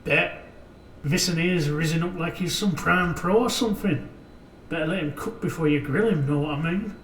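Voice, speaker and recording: A man playing an eager, jeering gang sidekick with a sharp, creaky voice and excitable street slang in a cyberpunk audio drama. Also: on the high side, moderate pace, roomy sound, a little hiss or hum, close to an everyday voice, poor audio.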